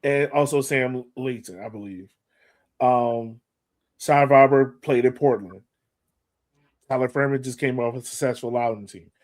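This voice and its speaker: A man's voice: medium pitch, measured pace, pauses often, a little mellow. A man co-hosting a soccer podcast, breaking things down casually in colloquial language and repeating words for emphasis. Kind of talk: casual sports analysis.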